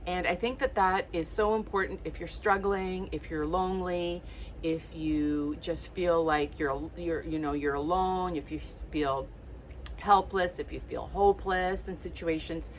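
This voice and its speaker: A woman's voice: lowish voice, steady tone, unhurried, slight background noise, low-quality recording. A woman in her late fifties, originally from Portugal, chatting casually to camera with lots of ums and likes. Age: late 50s. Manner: chatty, warm-hearted encouragement with many fillers. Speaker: lifestyle vlogger.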